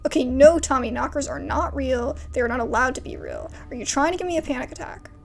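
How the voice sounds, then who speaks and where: A woman voicing a nervous young woman who frets and worries out loud. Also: high-pitched, gravelly. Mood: anxious. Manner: nervous, quick worried talk. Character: anxious young woman, jumpy and fretful.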